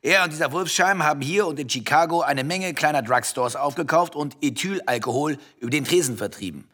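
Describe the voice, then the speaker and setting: An actor in a German radio play playing an arrogant, possessive wealthy husband, domineering and contemptuous. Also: male voice, fairly high pitch, a little fast, bright, forceful tone. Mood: accusing.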